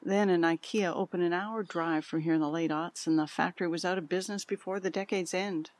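A woman playing a frail elderly mother who recalls a hard past frankly and deliberately in an audio drama. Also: on the low side, steady tone, lightly acted. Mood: reflective. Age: older.

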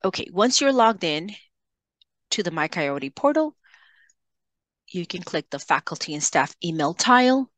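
Low-pitched woman giving a software tutorial. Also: sing-song, measured pace, long pauses, dry recording. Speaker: software trainer. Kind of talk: instructional screen-share tutorial.

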